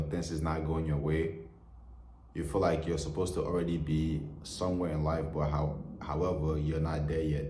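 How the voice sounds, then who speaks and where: A young man in his twenties with an extremely deep, mellow voice gives an earnest heart-to-heart straight to camera. He talks in a flat, even tone, leaning on lots of "you know" fillers. His voice rings in an echoey room. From Nigeria. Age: 20s. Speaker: vlogger. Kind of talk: motivational talk to camera.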